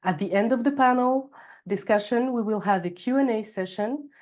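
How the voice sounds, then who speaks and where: A woman's voice: fairly high pitch, normal speed, darkish tone. Brief: woman webinar host, slight cold, lo-fi video-call audio, bilingual with fillers. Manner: bilingual, reads housekeeping notes with frequent fillers. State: recovering from a cold. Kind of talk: scripted webinar hosting.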